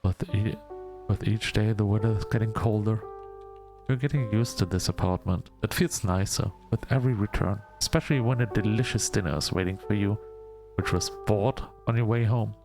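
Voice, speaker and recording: Gravelly-voiced man narrating a visual novel. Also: fairly low pitch, moderate pace, over music. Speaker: gamer reading a visual novel aloud for a video. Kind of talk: scripted narration with casual asides.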